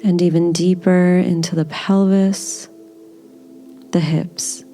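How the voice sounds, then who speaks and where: A woman leading a guided body scan meditation in a gentle, soothing, unhurried manner. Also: fairly low pitch, little pitch movement, faint music behind.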